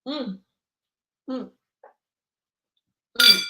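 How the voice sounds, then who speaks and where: A woman's voice: fairly high pitch, extremely slow, pushed and bright, roomy sound, slight background noise. A woman hosting a casual tarot live stream who chats and laughs while she eats. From Dominican. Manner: chatty and laughing, talks while eating.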